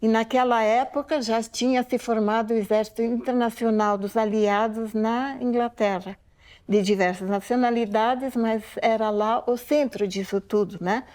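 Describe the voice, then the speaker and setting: An elderly woman in her eighties, originally from Poland, speaks in a voice sitting a little higher than most. She tells her story in an unhurried, reflective way, pausing often to check in with her listener. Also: steady tone, darkish tone. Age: 80s. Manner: reflective, unhurried, with frequent tag questions. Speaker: museum oral-history interviewee. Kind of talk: unscripted spoken testimony.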